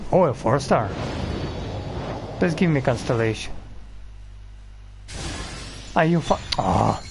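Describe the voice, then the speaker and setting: A man's voice: mid-range voice, lively intonation, takes it slowly, gravelly, noisy background. A man giving casual game commentary as a streamer, blunt, profane and grumbling. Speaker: gaming streamer.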